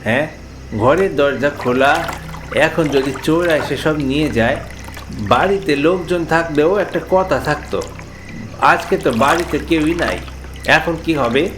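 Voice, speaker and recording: A man playing a cautious, bossy older brother from a village, worried and chiding, in an animated ghost story. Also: a little high, unhurried, long pauses, noisy background, close to an everyday voice. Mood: worried scolding. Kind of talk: animated horror story character dialogue.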